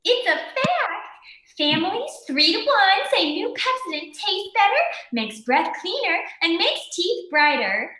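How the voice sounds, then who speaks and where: A woman playing an excited pitchwoman in a 1940s radio commercial, exclamatory and emphatic. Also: measured pace, roomy sound, exaggerated voice, poor audio. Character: excited female toothpaste pitchwoman.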